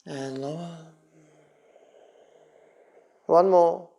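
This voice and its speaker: A man leading a guided yoga class as instructor, giving short, calm, unhurried spoken cues. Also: a little high, creaky.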